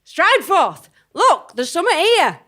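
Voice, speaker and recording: A woman plays an eccentric forensic pathologist from the criminal underworld in a comic audio drama. Her voice sits high, and she shows off her credentials with florid, boastful relish. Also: sing-song, measured pace, fairly bright tone, full character voice. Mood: intrigued. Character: proud, eccentric female backstreet forensic pathologist doctor.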